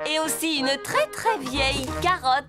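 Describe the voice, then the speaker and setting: Casting: kindly cartoon gazelle teacher, proper and cheerful, high voice with lively swoops. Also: female voice, a little slow, music in the background. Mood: warm, reminiscing.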